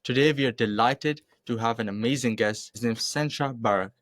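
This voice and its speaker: A man's voice: mid-range voice, a little fast, a bit bright, no echo, studio quality. A young man hosting an interview podcast, with formal, scripted introductions and short questions. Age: young adult. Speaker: podcast host.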